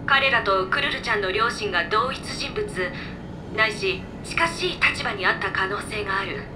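A woman's voice: medium pitch, expressive, normal speed, noisy background, natural voice. A woman voicing an easygoing, knowledgeable floating robot in a video game, explaining things casually. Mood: thoughtful. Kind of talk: video game voice acting, exposition.

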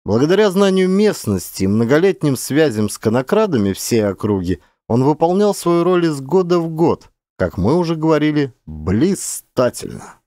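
A man's voice: a little high, big pitch swings, moderate pace, warm tone, dry recording, studio quality. A man narrating a literary story as an audiobook actor, with dramatic, varied emphasis.

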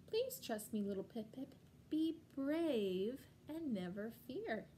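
A woman voicing a loving, gentle mother penguin in a children's storybook, tender and expressive. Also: a little high, slow, slight background noise. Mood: tender, reassuring. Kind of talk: children's picture-book read-aloud.

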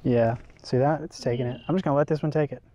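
A young man vlogging while fishing outdoors by a river, talking excitedly to viewers on an action camera. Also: medium pitch, fast. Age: young adult. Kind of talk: casual outdoor vlog.

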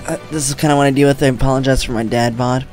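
A man's voice: medium pitch, steady tone, very fast, a little mellow. A young man in his twenties talks loosely into a desk mic in a small room at home, rambling and swearing as he goes. His voice sounds a bit worn, and there is faint music behind him. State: voice weakened from treatment. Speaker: streamer. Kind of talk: casual vlog talk to camera. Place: home streaming room, indoors.

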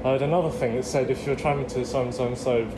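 A man's voice: mid-range voice, steady tone, rapid-fire, very noisy. Hesitant man, echoey train carriage. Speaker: vlogger behind the camera. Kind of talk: casual chat. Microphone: phone held while filming. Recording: echoey phone audio, chatter behind.

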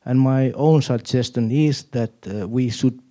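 A man from Finland with a Finnish accent, asking a question from the audience in a deliberate, halting way. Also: mid-range voice, dark tone. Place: indoor auditorium audience.

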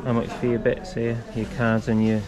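A man's voice: mid-range voice, completely flat delivery, moderate pace. A British man casually chatting and haggling as a customer at an indoor sale. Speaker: vlogger and reseller buying at a sale. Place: indoor church hall table top sale. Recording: loud busy hall crowd chatter.

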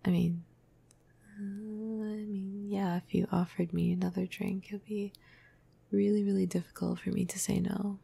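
Woman acting as teasing cuddly vampire, ASMR roleplay, slow and breathy, gravelly fry, intimate murmur. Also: medium pitch, little pitch movement, warm tone, exaggerated voice. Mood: dreamy, teasing. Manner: casual, playful, hesitant, breathy murmur. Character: flirty, cuddly, teasing female vampire crush. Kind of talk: ASMR roleplay, soft intimate half-whisper. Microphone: close microphone.

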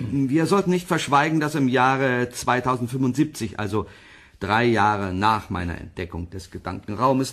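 An actor playing a proud, precise male professor who speaks emphatically in a German sci-fi radio play. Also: lowish voice.

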